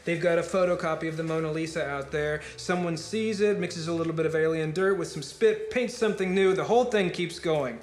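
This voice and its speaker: A man's voice: mid-range voice, measured pace, creaky, a bit bright. An actor playing a rambling, fatalistic party guest who holds forth philosophically in a naturalistic film monologue, building his argument step by step in a conversational lecture. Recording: roomy film dialogue with music behind. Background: music.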